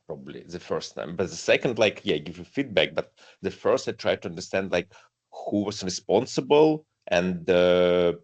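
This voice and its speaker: A man's voice: fairly low pitch, highs cut off. A man from Russia, speaking English hesitantly and searching for words as a participant in a mentoring session.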